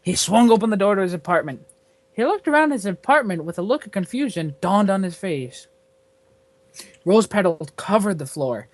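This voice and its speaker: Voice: mid-range voice, expressive, normal speed, dry recording. Dry, close-sounding comic storyteller reading aloud. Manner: theatrical, often breaking into laughter. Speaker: author reading own fan fiction aloud to friends.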